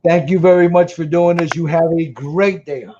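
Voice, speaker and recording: A man's voice: fairly high pitch, fairly even intonation, normal speed, warm tone. A man with a Chicago accent hosting a casual livestream, rambling with stammered restarts. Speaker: livestream host. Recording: muffled lo-fi stream audio with faint noise.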